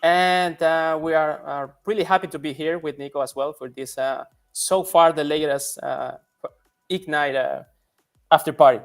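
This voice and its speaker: A man's voice: on the high side, moderate pace, vocal fry, soft background music. A man from Ecuador introducing himself as a panel guest, hesitant with many fillers. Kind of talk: casual online panel chat.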